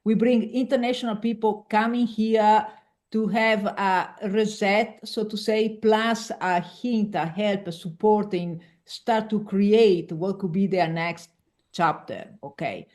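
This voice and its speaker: An Italian woman who speaks English as a second language, with a clear Italian accent and a voice of ordinary pitch for a woman. She talks with animated energy as a coaching guest, and she keeps restarting her words as she reaches for the right English phrase. Her speech is conversational, in the loose manner of a casual interview. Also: slow pace. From Veneto, Italy.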